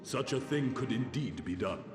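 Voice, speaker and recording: An actor playing a deliberate military ally in a fantasy game, speaking in a formal, considered way. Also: male voice, medium pitch, lively intonation, natural voice. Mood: pensive, resolute. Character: measured male military ally, a deliberate tactician. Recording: roomy, long reverb, music behind.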